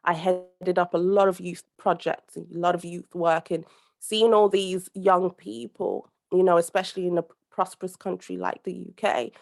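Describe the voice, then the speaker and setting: A woman's voice: on the low side, level tone, moderate pace, vocal fry. A young adult woman from the UK, a guest speaking earnestly over a call, rambling with many fillers and restarts. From United Kingdom. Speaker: podcast guest, social commentator. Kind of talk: conversational interview over a call.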